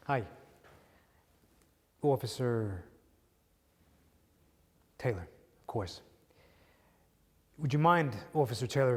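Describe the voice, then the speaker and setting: A man playing a polite, insistent prison doctor in a dramatic monologue, measured and firmly persuasive. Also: mid-range voice, lightly acted. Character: polite, insistent male prison doctor pleading for his patient. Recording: long echoing hall reverb.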